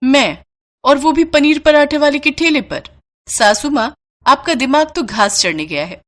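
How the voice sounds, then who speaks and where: Woman acting a high-pitched dramatic young daughter-in-law. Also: measured pace, a bit bright. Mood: scornful outrage. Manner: scornful, dramatic. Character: haughty, sharp-tongued young daughter-in-law.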